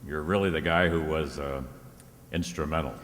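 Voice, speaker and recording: A man's voice: rumbling low, steady tone, normal speed, a bit bright. A man playing an easygoing, wry private detective in an old-time radio drama, with a breezy, teasing manner. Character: George Valentine, easygoing wry male freelance detective. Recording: echoey hall with noticeable noise.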